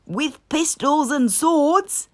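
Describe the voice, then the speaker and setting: A woman's voice: sits high, drawn-out words, voice pushed up. A woman voicing a stern, practical, bossy male water rat from a children's storybook, firm and emphatic. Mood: eager. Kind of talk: children's storybook character reading.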